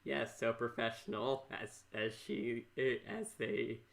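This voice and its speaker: An American man hosts a casual interview podcast in a bright, sharp voice. He talks in a rambling, halting way, often doubling back and repeating his words. The tone is that of informal chat. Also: mid-range voice, fairly even intonation, gravelly. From Minnesota, US. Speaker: podcast host.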